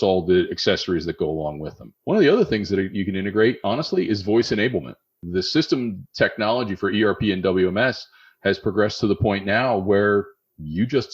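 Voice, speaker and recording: Deep husky Philadelphia man. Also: dull audio. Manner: conversational, often adds "okay?" to check understanding. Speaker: guest expert on warehouse technology. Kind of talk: explanatory podcast interview.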